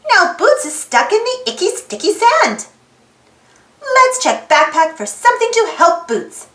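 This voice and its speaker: A woman reading a children's picture book aloud in an animated way, putting questions to young listeners. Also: extremely high pitch, measured pace, roomy sound. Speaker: storybook read-aloud host.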